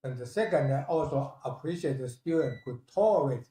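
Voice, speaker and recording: A man in his sixties from Taiwan, with a Taiwanese accent and a voice recovering from being lost, giving a halting, humble thank-you speech as a guest professor. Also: medium pitch, lively intonation, warm tone. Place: indoor hall at a university ceremony. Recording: echoey room.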